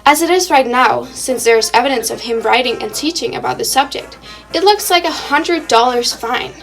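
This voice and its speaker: A woman's voice: high voice, normal speed, creaky, soft background music, rough recording. A young woman reading a scripted news report in the style of a 1920s radio program.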